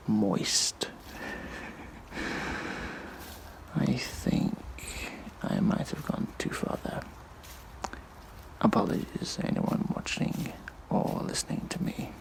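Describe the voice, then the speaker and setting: A man playing a smug, mischievous hacktivist vigilante in an audio drama, speaking in a hushed, breathy, halting monologue. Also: fairly low pitch, a little hiss or hum, exaggerated voice, rough recording. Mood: flustered, playful.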